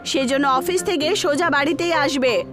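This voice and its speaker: Woman acting a high-voiced strict landlady. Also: moderate pace, over music, lightly acted. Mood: stern. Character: strict landlady laying down rules to tenants.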